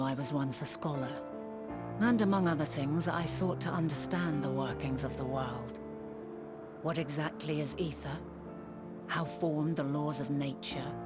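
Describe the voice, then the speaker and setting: A serene ancient scholar, speaking in a very low, grave voice with slow, reverent, old-fashioned phrasing. Her lines come as game cutscene dialogue over a swelling orchestral score. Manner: slow, solemn and reflective, archaic phrasing.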